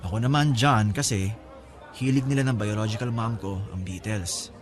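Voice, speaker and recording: Actor as low-voiced breezy, teasing older brother. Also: male voice, normal speed, close to an everyday voice. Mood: teasing, confiding. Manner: teasing, casual, talkative. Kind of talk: Filipino radio-style drama dialogue.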